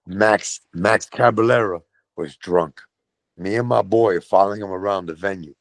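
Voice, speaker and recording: A middle-aged man from Brooklyn with a New York accent, telling profane, animated stories as a guest on a podcast. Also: low voice, moderate pace, dry recording. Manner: profane, animated storytelling with voiced quotes. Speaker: guest, metal vocalist. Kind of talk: casual storytelling.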